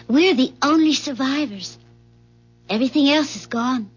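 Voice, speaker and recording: A muffled vintage radio drama recording of a woman who has survived a doomed future. Her voice is high and somewhat husky, delivered with grave earnestness. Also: moderate pace, soft background music. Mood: grave, earnest. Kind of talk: 1970s radio drama acting.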